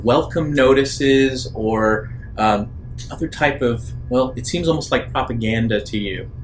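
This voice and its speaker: Slow-paced man narrating a tabletop game. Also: mid-range voice, slight background noise, low-quality recording. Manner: halting, pausing between short phrases. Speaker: game master.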